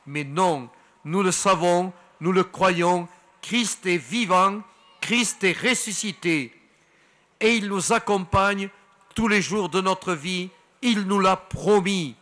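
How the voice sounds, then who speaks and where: An older man, a priest, preaching slowly and solemnly to the faithful at an outdoor shrine. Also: high-pitched, sharp tone. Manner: slow, solemn, addresses the faithful. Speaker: priest leading the rosary. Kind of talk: prayer, hymn and short homily. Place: outdoor shrine grotto before a crowd. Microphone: headset mic and lectern mic. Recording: roomy outdoor sound with crowd ambience.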